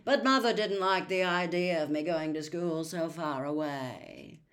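A woman's voice: low voice, measured pace. A woman voicing a sneering, snobbish teenage schoolboy who drawls, in a storybook reading.

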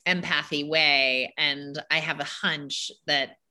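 Earnest woman host, bright forceful voice. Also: deep voice, steady tone, takes it slowly. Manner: earnest, reflective, talks in flowing asides. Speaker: podcast host. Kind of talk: casual conversation.

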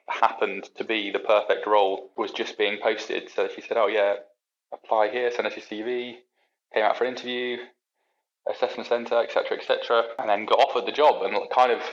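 Young UK man, chatty podcast guest, low voice, rambling and self-deprecating, muffled lo-fi recording. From United Kingdom. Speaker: podcast guest, racing engineer. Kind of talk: casual chat.

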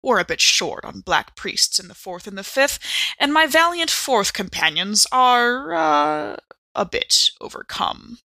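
A woman's voice: a little high, big pitch swings, unhurried, creaky, bright, forceful tone, no echo, full character voice. A woman voicing a kind, jolly older man, a courtly cavalier with formal, courteous manners, in an audiobook-style reading.

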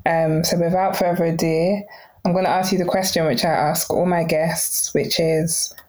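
A woman's voice: lowish voice, level tone, moderate pace, a little mellow, poor audio. A woman hosting a podcast, welcoming her guest and asking questions in a friendly, casual way. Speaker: podcast host. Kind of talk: casual interview chat.

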